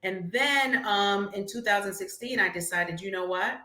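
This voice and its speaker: A woman's voice: mid-range voice, normal speed, bright, forceful tone. A woman, answering interview questions in a friendly way with frequent filler words. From Brooklyn, New York. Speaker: guest, finance entrepreneur. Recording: echoey room.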